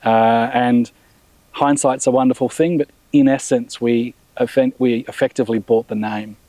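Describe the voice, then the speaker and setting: A middle-aged man, a brand founder, chatting in a relaxed interview with many restarts and fillers. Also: mid-range voice, moderate pace, no echo, rough recording. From Australia. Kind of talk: casual interview.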